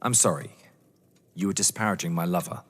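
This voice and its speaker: An actor playing a young English lover, earnest, polite, proud and defensive, in a theatrical audio drama. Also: male voice, deep voice, a little fast. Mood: wounded, defensive. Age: young adult. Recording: echoey hall-like reverb.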